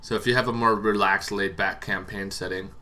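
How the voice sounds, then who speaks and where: Bright-voiced man explaining casually. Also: lowish voice, fairly even intonation, a little fast. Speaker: host of a tabletop roleplaying monster lore show. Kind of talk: casual unscripted explainer to camera.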